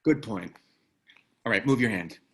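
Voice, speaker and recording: A man's voice: on the low side, expressive, measured pace, sharp tone, breathy edge, heavily acted. A man playing a terse, guarded ex-military operative in a screenplay table read.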